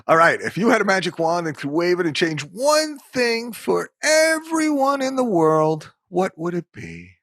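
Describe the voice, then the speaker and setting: A man's voice: sits high, big pitch swings, unhurried, few pauses, fairly bright tone, dry recording. Older man, new york accent, podcast host, dry close sound. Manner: upbeat, encouraging interviewer. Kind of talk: casual interview chat.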